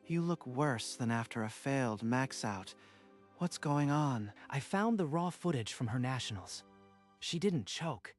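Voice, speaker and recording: A man's voice: mid-range voice, lively intonation, unhurried, faint music behind, natural voice. A man playing an earnest, worried young gym-goer in a dubbed romance comic, speaking in a pleading way. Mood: worried, determined.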